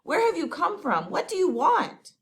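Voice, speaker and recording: A woman's voice: mid-range voice, animated, measured pace, a bit bright. A woman voicing a stern, fatherly older male friar who scolds and advises in a scripted audio drama. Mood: urgent. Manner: scolding, urgent, lecturing. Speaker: voice actor.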